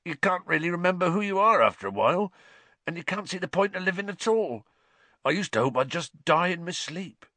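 A huge male groundskeeper, tearful and haunted, speaking in rough dialect, as a male reader puts him on. The voice swings widely in pitch, low and mournful. Dry, close sound. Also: lively intonation, a little fast, sharp tone, no echo, heavily acted.

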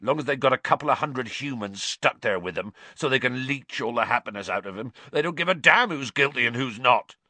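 A man voicing a huge, tearful male groundskeeper who talks in a rough dialect. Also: expressive, brisk, few pauses, pushed and bright, dry recording. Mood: haunted. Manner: rough dialect speech, low and mournful.

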